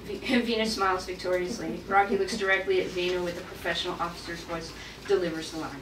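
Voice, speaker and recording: A woman's voice: fairly low pitch, little pitch movement, fast. A woman reading out screenplay stage directions as narrator at a table read. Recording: echoey room, distant mic, clear noise.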